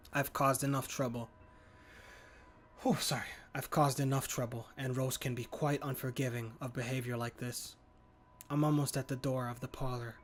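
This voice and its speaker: Man, gaming host, reading visual novel narration, even flat delivery, self-correcting. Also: medium pitch. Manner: plain read-aloud, correcting his own slips. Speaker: gaming YouTuber.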